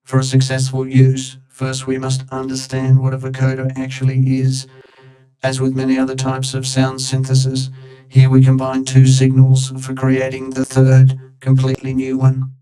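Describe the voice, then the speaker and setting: A man's voice: medium pitch, monotone, moderate pace, over music. A man reading scripted tutorial narration, his voice processed through a vocoder into a synthetic robotic sound. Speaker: tutorial presenter. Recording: echoey, distant, over loud synth tones.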